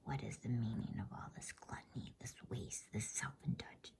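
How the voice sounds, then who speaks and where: A woman's voice: extremely low pitch, normal speed, a little mellow, pitched below the performer's own voice. A woman voicing a cruel, haughty witch queen in a whispered bedtime storybook reading. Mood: scornful, angry. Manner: commanding, haughty. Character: imperious, cruel witch queen, cold and accusing.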